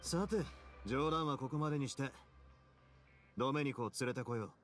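An actor playing a self-assured prison fortress boss in an anime game, casual and dryly joking while in command. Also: male voice, medium pitch, normal speed, fairly bright tone, faint music behind, natural voice. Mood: wry, relaxed.

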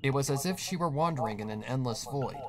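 A man's voice: mid-range voice, fast, crowd noise. A man reading a scripted horror story as the narrator. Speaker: fan fiction narrator.

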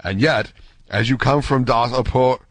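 A man voicing a gentlemanly musketeer in an audiobook, self-possessed and stately, with formal courtesy. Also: medium pitch, a little slow, no echo, lightly acted. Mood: calm. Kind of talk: audiobook character acting.

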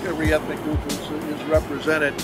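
A man guiding a walking tour outdoors, explaining sights in an unhurried way with some fillers. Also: on the high side, monotone, loud music behind the voice. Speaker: tour guide. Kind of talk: guided walking tour talk.

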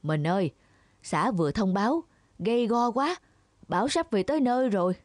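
A woman's voice: mid-range voice, expressive, normal speed, darkish tone, dry recording, studio quality, close to an everyday voice. Woman as a practical, anxious cartoon villager. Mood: worried, urgent. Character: practical, anxious farming villager looking after livestock. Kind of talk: children's cartoon fable dubbing.

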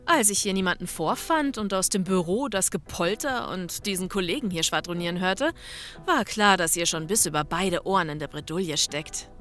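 An actress playing a brash, sharp-witted female police investigator, sassy and matter-of-fact, in a German comedy audio drama. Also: on the low side, lively intonation, normal speed, faint music behind. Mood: sassy explaining.

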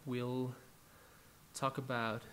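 A young man in his twenties from Colombia, Spanish-speaking by birth, with a Colombian Spanish accent, introducing worship songs at home in a soft-spoken, halting way. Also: medium pitch, flat delivery, slight background noise. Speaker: worship musician. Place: living room at home, indoors. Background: faint acoustic guitar.

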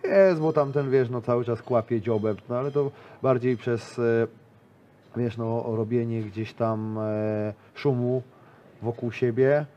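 A man's voice: mid-range voice, unhurried, warm tone, lo-fi sound. A man in his thirties, a grappler and interview guest, talking casually in a small studio, rambling with lots of fillers and restarts. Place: small studio with a decorated wall.

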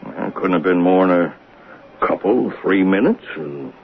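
An actor playing an earnest, shaken train conductor in a 1970s radio drama, sometimes hesitant. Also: male voice, low-pitched, animated, unhurried, creaky, heavily acted. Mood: earnest, uneasy. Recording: tinny, hissy 1970s radio recording.

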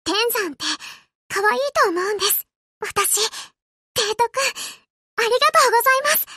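A woman voicing a gentle, eager young ship girl in an anime game, sweet, polite and earnest, a little hesitant. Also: extremely high pitch, animated, moderate pace, no room sound, clean studio sound, heavily acted. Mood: sweet, grateful. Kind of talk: Japanese anime game character voice lines.

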